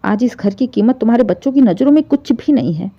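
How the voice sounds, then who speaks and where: A woman's voice: a little high, lively intonation, fast talker, pitched above the performer's own voice. A woman voicing an elderly widowed father in a moral story, wounded, proud and resolute, speaking with emphatic feeling. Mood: grieving, hurt. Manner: emphatic, emotional pleading and scolding. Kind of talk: dramatised Hindi moral story. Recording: dull, muffled lo-fi audio.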